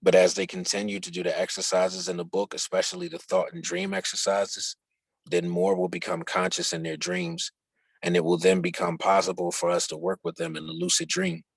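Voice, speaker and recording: Deep, gravelly man reading aloud. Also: sing-song, a little fast, dry recording. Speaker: reader of a book chapter. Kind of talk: reading written dialogue aloud, instructional.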